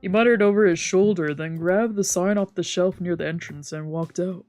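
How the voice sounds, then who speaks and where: A woman's voice: on the low side, moderate pace, faint music behind. Woman streamer narrating a visual novel. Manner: steady narrative reading. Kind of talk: reading a visual novel aloud.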